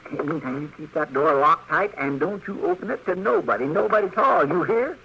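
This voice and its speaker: An actor playing an excitable, loyal frontier townsman with a folksy rural Western drawl in an old-time radio western. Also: a little high, fairly quick, vocal fry, lo-fi sound, very noisy. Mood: insistent. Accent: rural American Western.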